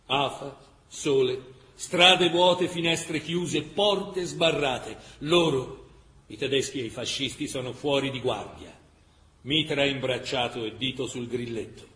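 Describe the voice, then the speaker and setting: A male actor playing a grave chronicler of a besieged wartime city in a radio drama, measured and emphatic with dramatic pauses. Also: mid-range voice, a bit bright, slight background noise. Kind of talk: dramatic scripted documentary narration. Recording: roomy, echoing radio theatre sound.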